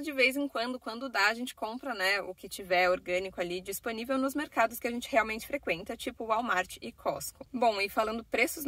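A woman's voice: a little high, pushed and bright, dry recording. A young woman with a Brazilian Portuguese accent, chatting casually to her phone camera from a parked car in a vlog, full of little fillers. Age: young adult. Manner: chatty, talking with her hands, many fillers like "né" and "assim". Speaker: vlogger. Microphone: phone camera at arm's length.

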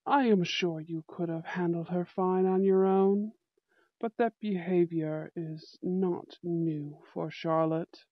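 A woman's voice: lowish voice, fairly even intonation, slow pace, no room sound, highs cut off, pitched below the performer's own voice. A woman voicing a young bad-boy school prince in an ASMR roleplay, formal, aloof and protective. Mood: gracious, formal. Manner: haughty, formal, commanding. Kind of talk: amateur ASMR audio roleplay acting.

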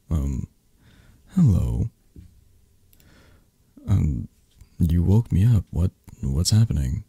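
A man playing a possessive mafia boss in a romantic ASMR audio roleplay, speaking haltingly with sighs and pointed pauses in a low, breathy, husky voice. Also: dark tone, dry recording, full character voice. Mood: sleepy, awkward concern. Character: possessive, menacing young mafia boss, softening into awkward tenderness.

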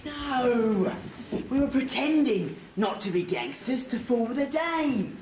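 A woman's voice: fairly high pitch, very animated, takes it slowly, recorded from a distance. A woman playing a bumbling, know-it-all comic henchman in a stage pantomime, explaining things with exasperated patience. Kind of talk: amateur pantomime double act. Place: stage in a hall, recorded from afar. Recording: echoey hall, noisy old camcorder tape.

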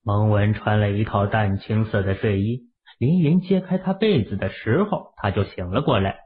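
Low-pitched male narrator, phone-like sound. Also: normal speed. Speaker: audiobook narrator. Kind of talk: scripted dramatic story narration.

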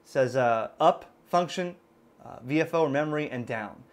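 Man, middle-aged, American accent, medium pitch, casual product demo, halting delivery, explanatory, thinking aloud. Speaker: ham radio gadget reviewer. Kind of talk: casual unscripted product demonstration. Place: indoors at a desk in a room.

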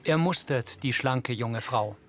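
A man reads scripted scene descriptions in a measured, matter-of-fact and neutral way. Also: medium pitch, a bit bright, a little hiss or hum. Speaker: audio description narrator. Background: background music.

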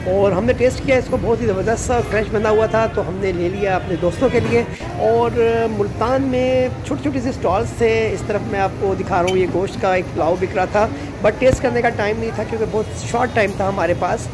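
A man narrating a casual travel vlog, chatty with hesitant pauses. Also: high voice. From Pakistan. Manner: chatty, says "zabardast" and "inshallah" often, with hesitant "uh" pauses. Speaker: travel vlogger. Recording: loud street noise behind.

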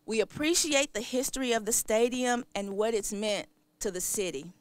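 A woman's voice: a little high, moderate pace, dry recording. A middle-aged American woman delivering a scripted public announcement as a department director. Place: open parking lot outdoors. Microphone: podium microphones.

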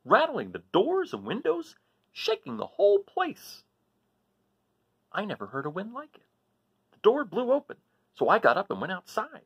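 Guy doing a boastful farm dog telling a storybook tale, super animated and folksy, bit muffled. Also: high-pitched, creaky, breathy edge, voice pushed up, full character voice. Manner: folksy, dramatic, emphatic. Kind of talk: animated storybook read-aloud. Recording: dull lo-fi device microphone.

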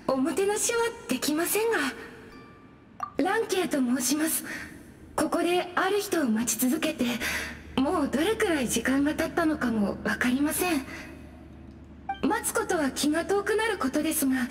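A wistful young-sounding ghost lady in a game. She speaks very high, with formal courtesy and gentle resignation, over clear background music. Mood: apologetic, polite. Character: polite, wistful female ghost endlessly waiting for someone. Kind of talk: Japanese game voice acting.

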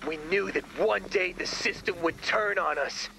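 An actor playing an excitable, gullible, earnest young man in an animated video game, melodramatic in delivery. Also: high voice, normal speed, gravelly, slight background noise, full character voice, poor audio. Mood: anguished.

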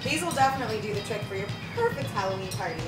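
A woman's voice: medium pitch, expressive, very fast. A young woman hosting a playful cocktail demo behind a bar, bubbly and teasing, giggling between instructions. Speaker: cocktail show host. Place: dim bar, indoors. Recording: echoey bar, distant mic, loud music.

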